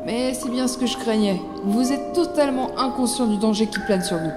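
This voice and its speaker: In a reverberant space with music behind, a woman acts a resolute mother in an audio drama. Her voice sits a little high. She is stern and protective, speaking with deliberate firmness. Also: close to an everyday voice. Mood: reproachful. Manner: deliberate, firm. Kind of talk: French audio drama acting.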